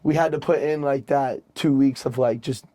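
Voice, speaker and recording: A man's voice: mid-range voice, fairly even intonation, normal speed, warm tone. A young man, a rapper, chatting casually on a podcast, rambling and leaning heavily on filler words. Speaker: rapper guest.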